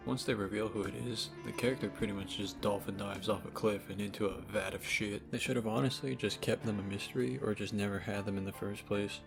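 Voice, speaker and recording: A man's voice: on the low side, fairly even intonation, a little fast, vocal fry, few pauses, over music. A man giving casual, opinionated video essay commentary as a game reviewer, speaking informally with sarcasm and profanity. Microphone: cheap microphone.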